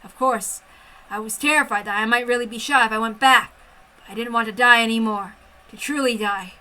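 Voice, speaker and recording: A woman reading a first-person story aloud with an expressive, intense dramatic delivery. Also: a little high, fairly quick. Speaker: amateur story reader.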